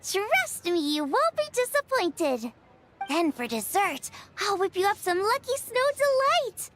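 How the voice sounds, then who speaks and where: A woman's voice: very high-pitched, big pitch swings, a little slow, noisy background, full character voice. A woman voicing a cheerful, confident young girl chef in a video game, chatty, bubbly and reassuring. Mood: upbeat, friendly. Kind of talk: English video game voice acting.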